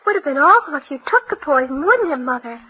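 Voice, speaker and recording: Shrill, tearful little girl. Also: very animated, fast talker. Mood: hysterical, terrified. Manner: tearful pleading, shrill wailing. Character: frightened, clinging, possessive little girl, terrified of mother. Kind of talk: old-time radio melodrama acting. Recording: tinny, band-limited old radio recording.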